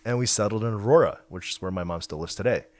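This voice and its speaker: A man's voice: lowish voice, fast, dry recording. A young man who grew up in China, talking casually and thoughtfully about his path as a founder. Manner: relaxed, reflective, uses many fillers. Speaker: hacker house founder. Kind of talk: casual interview chat. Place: house in Waterloo.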